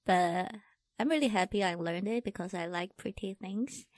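A woman from Taiwan with a Taiwanese accent, chatting informally as an interview guest in halting phrases. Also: medium pitch, no echo. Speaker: interview guest, tattoo artist. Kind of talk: casual chat.

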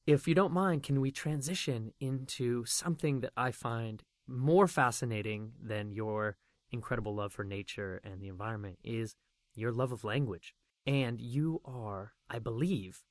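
A man's voice: mid-range voice, unhurried, no room sound. Guy hosting a podcast, teasing and gushing, dry close mic. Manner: teasing, effusive with praise. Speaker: podcast host. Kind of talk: casual interview.

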